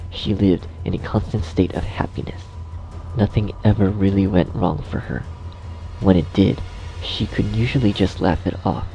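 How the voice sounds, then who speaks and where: Low, gravelly man narrating a story. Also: warm tone, low-quality recording. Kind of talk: scripted horror story narration. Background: music.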